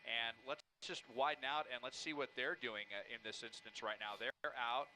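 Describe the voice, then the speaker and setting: Sharp, very bright American male anchor. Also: medium pitch, fairly even intonation, moderate pace, a little hiss or hum, poor audio. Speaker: news anchor. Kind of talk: unscripted live commentary.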